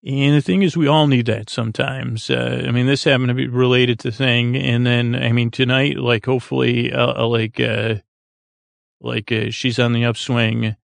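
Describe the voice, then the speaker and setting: Male sleep podcast host: creaky, flat-toned, halting and meandering, recorded close and dry. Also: mid-range voice, dry recording. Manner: meandering, hesitant, halting with repeated false starts. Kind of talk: rambling bedtime podcast monologue.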